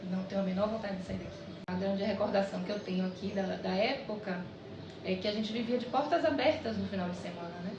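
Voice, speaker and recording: A woman's voice: mid-range voice, fast, roomy sound, far from the mic, highs cut off, noisy background. A woman, a longtime resident chatting and reminiscing in a documentary interview outdoors. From Salvador, Brazil. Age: 40s.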